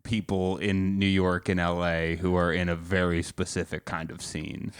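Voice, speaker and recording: Low-voiced man hosting a podcast, teasing. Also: little pitch movement, clean tone, no echo, clean studio sound. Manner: teasing, quick-witted interviewer. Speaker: podcast host. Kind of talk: casual chat.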